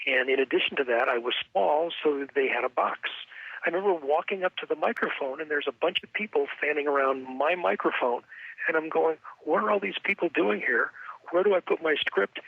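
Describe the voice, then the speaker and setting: A man with a husky, creaky voice, reminiscing in an animated way. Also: fairly high pitch, a little fast, dry recording. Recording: thin phone-like sound.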